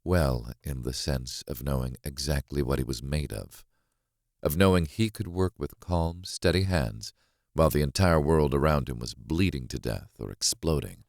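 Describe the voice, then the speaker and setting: A man narrating a thriller audiobook in the first person, in a measured, brooding and dramatic way. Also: a bass voice, gravelly, no room sound, clean studio sound.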